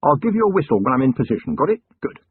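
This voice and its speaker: A man's voice: medium pitch, sing-song, racing, dry recording, pitched above the performer's own voice. A man doing a dry, weary police commander. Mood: brusque. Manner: dry, gruff, sardonic. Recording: tinny lo-fi narration recording.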